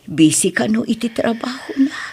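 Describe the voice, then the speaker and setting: A woman's voice: on the high side, animated, moderate pace, darkish tone, a little hiss or hum, natural voice. A woman playing an aging mother, strict, emotional and protective, in an Ilocano family drama. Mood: wistful. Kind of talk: Ilocano family radio-style drama acting.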